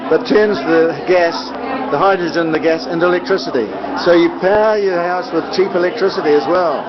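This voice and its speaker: Older NZ man, chatty rambler, a touch high-pitched, lo-fi recording in a packed room. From New Zealand. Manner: chatty, meandering, many filler pauses. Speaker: live-streaming videographer. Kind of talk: rambling casual chat. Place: busy indoor boutique at an event. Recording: dull lo-fi audio with loud crowd.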